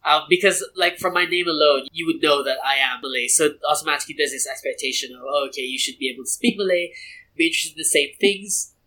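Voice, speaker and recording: A young man from Brunei chatting as an interview guest, animated and full of asides and restarts. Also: on the high side, fast talker, poor audio. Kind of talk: casual conversational interview.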